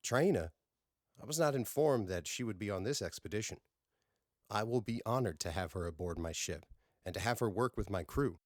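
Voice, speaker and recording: A seasoned, courteous starship captain, read by a male narrator in a science fiction audiobook. The voice is low and formal with measured phrasing, recorded dry. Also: animated, darkish tone, no room sound, natural voice. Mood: formal, commanding.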